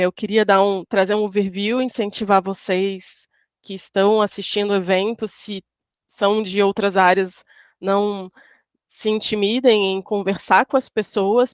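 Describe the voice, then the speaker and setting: A woman's voice: mid-range voice, dry recording, muffled recording. A woman speaking as a panelist over a video call, in a thoughtful way with many restarts and fillers. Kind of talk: panel talk.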